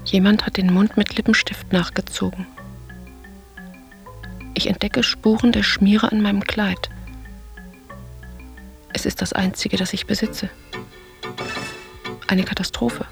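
Hushed, creaky-voiced introspective woman. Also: medium pitch, level tone, measured pace, long pauses, soft background music. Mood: dreamy, uneasy. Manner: fragmentary, hushed, inward. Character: introspective woman recalling childhood and love, haunted, searching. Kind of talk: literary radio play monologue.

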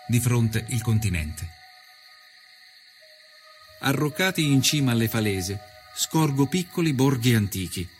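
Male actor as 1890s Italian reporter, earnest, measured, literary diction, documentary narration, medium-pitched. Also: lightly acted. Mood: reflective, somber. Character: earnest Italian journalist of the 1890s recounting his travels. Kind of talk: scripted first-person documentary narration.

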